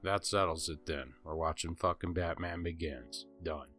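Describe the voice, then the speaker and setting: A man's voice: sits low, moderate pace, a bit bright, soft background music. A man acting a laid-back, bossy, foul-mouthed young man in an amateur audio story. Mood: blunt.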